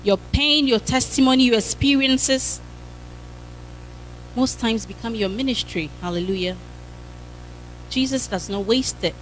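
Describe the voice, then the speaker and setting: A woman's voice: on the high side, measured pace, long pauses, a little hiss or hum. A woman in her thirties preaching a sermon with a handheld mic in a church hall, fervent and punctuated by hallelujahs.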